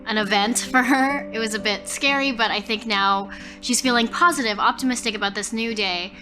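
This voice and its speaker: A woman's voice: a little high, fairly quick, over music, poor audio. A woman improvising narration for her character as a player in a tabletop roleplaying game.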